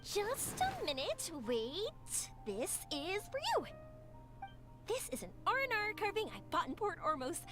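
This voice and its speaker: A woman's voice: extremely high pitch, wildly swooping pitch, a little slow, music in the background, exaggerated voice. A sunny, chatty young woman. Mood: cheerful. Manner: bright, encouraging, sing-song. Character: cheerful upbeat young woman, warm and talkative. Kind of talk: game voice acting.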